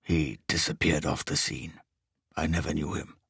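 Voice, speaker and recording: A man voicing a menacing foreign burglar with a foreign accent, talking in a low, curt, impatient whisper. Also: steady tone, normal speed, creaky, fairly bright tone, husky, no room sound, clean studio sound, exaggerated voice. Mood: hushed, curt. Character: menacing foreign male burglar, bass-voiced, assertive.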